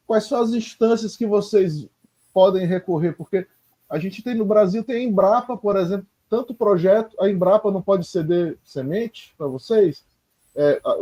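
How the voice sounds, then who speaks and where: High-voiced guy asking questions, kinda unsure, restarts a lot, mellow tone. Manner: hesitant, apologetic, restarts often. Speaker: interviewer. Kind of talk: interview questions. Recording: dull lo-fi audio.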